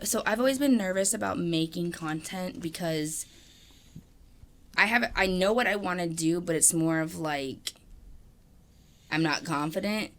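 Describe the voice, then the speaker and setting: A young woman co-hosting a podcast, rambling in casual chat with lots of fillers and swearing. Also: on the low side. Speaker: podcast co-host.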